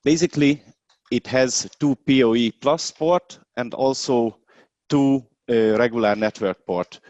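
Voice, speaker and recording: A man who is a non-native English speaker demonstrates a product hands-on in a webinar, explaining step by step in a halting way. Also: mid-range voice, darkish tone, dry recording. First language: not English. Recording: dull, dry webinar video sound.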